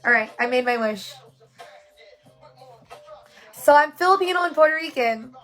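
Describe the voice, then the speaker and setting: A woman's voice: high-pitched, expressive, moderate pace, fairly bright tone, soft background music. A woman from New York hosting her own livestream, chatting casually and rambling a little with fillers. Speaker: livestream host. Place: home room with yellow lighting.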